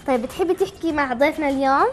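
A woman's voice: high voice, racing, faint music behind. A woman hosting a chatty live TV call-in, asking simple, encouraging questions. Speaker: TV show host.

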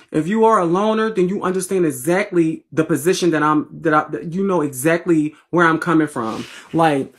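A woman from Philadelphia with a raspy voice and a Philly accent, chatting bluntly and profanely to viewers on a phone livestream from her bedroom. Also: on the low side. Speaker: livestream host. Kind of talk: casual livestream chat. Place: bedroom at home, indoors. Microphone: phone at arm's length.

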